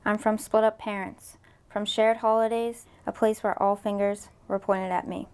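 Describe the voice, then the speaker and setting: A woman's voice: medium pitch, little pitch movement, moderate pace, clean tone. A young woman college student reflecting candidly on her path to college and reading a personal poem.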